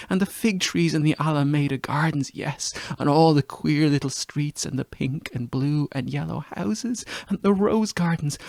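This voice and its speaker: Guy playing a sensual earthy woman lost in memories, breathy and crackly, dry close sound, literary monologue. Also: on the high side, a little mellow, no echo. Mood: breathless rapture. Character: earthy, sensual Irish woman musing on past love. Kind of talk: dramatic literary monologue reading.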